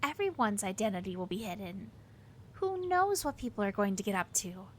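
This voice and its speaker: An actress playing a warm, chatty young palace worker with a romantic streak, eager and sympathetic, in an audio drama. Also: female voice, medium pitch, very animated, a little fast, a little hiss or hum, natural voice. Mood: encouraging, playful.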